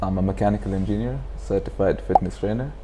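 A man's voice: low voice, fast, very noisy. A man in his twenties from the UAE, chatting informally as an interview guest and explaining things, on a lapel mic indoors. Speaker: interview guest, clothing brand founder. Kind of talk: casual interview talk. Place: furnished indoor lounge.

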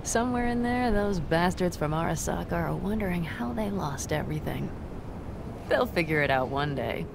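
A woman's voice: fairly low pitch, animated, moderate pace, creaky. An actress playing a tough, loyal nomad woman in a cinematic video game, speaking bluntly and earnestly. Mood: bitter, wry. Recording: game ambience with vehicle noise.

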